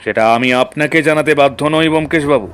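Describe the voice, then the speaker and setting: A man's voice: fairly high pitch, a little fast, fairly bright tone, slight background noise, natural voice. A man playing a stern, easily affronted police officer in an audio drama, officious and confrontational. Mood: indignant. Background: background music.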